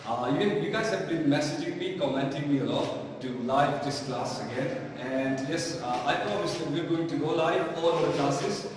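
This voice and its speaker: A man's voice: on the high side, normal speed, few pauses. A man with a Pakistani accent teaching a live online English lesson in a didactic way, often asking questions and answering them himself. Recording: very echoey hall, distant mic, faint noise.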